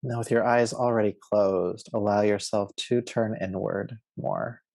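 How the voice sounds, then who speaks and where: A man guiding a yoga nidra relaxation as a teacher, with a soothing, measured, instructive delivery. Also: fairly low pitch, fairly even intonation, creaky, darkish tone, no echo. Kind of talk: guided relaxation meditation.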